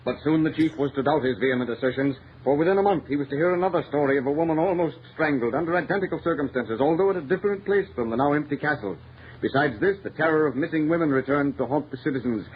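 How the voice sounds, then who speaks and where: A man's voice: medium pitch, quick pace, lightly acted. A solemn, dramatic radio mystery narrator played by a man races through formal 1930s-style script. The recording is dull and lightly noisy. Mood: ominous.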